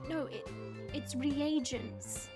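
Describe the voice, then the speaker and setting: Woman playing a high-voiced, dramatic young princess. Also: fairly even intonation, drawn-out words. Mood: exasperated. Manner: commanding, dramatic, easily flustered. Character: proud, exasperated young dragon princess, bossy and dramatic. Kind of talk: fantasy audio drama acting. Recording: music under the voice.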